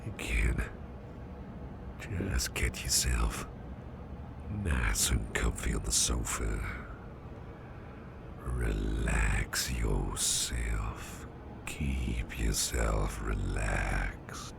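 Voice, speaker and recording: A man playing a caring, gruff businessman boss in a soft, half-whispered, intimate ASMR roleplay. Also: steady tone, full character voice. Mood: tender, reassuring. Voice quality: gravelly, breathy low murmur. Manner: slow, hesitant, intimate, soothing. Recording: clearly audible background noise.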